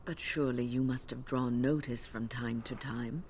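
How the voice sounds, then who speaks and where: A calm older mage woman. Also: very deep voice, normal speed, a little hiss or hum. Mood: earnest. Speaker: mage companion in a video game.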